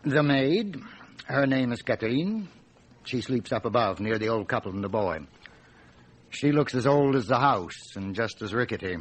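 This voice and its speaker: A man's voice: mid-range voice, lively intonation, moderate pace, lightly acted, poor audio. A man playing a talkative, obliging local police inspector in a radio drama, explaining things in detail with slight hesitation. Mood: obliging, explanatory.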